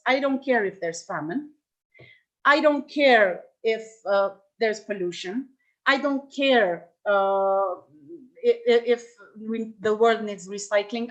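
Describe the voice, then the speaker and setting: A woman's voice: mid-range voice, fairly bright tone, low-quality recording. A middle-aged woman from Lebanon giving a reflective workshop talk, with frequent restarts and fillers as she thinks aloud. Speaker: workshop speaker.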